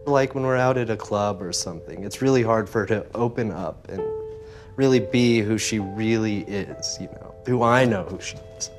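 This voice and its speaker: A man with a slightly low voice talks in a relaxed, easygoing way. He fills his talk with "you know"s. Faint TV score music plays in the background. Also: moderate pace. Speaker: roommate on a reality show. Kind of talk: casual chat on a TV show.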